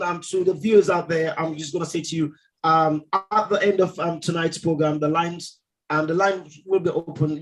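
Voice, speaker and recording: Halting male radio presenter. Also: on the high side, low-quality recording. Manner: halting, full of ums. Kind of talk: on-air announcement.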